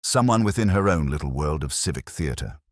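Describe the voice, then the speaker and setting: Man playing a very deep-voiced, shrewd police inspector. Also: lively intonation, no echo, studio quality. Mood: quietly certain. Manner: quiet, deliberate, cryptic. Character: calm, shrewd, courteous male police inspector.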